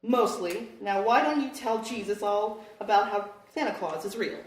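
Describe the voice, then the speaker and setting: A woman playing a feisty, sharp-tongued wife and mother in an old-time radio style Christmas sketch. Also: mid-range voice, moderate pace, close to an everyday voice. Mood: cutting. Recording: echoey, noisy room, off mic.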